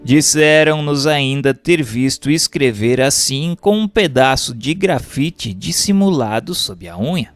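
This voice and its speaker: A Brazilian man reads a formal text as a scripted narration, in a measured and carefully phrased manner. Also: medium pitch, expressive, soft background music. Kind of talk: scripted audiobook-style reading of a doctrinal text.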